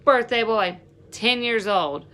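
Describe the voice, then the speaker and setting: A woman's voice: on the high side, slow, a bit bright, a little hiss or hum. A woman voicing a bullying, suspicious boy who leads a gang in a storybook, with pointed, accusing delivery. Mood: goading.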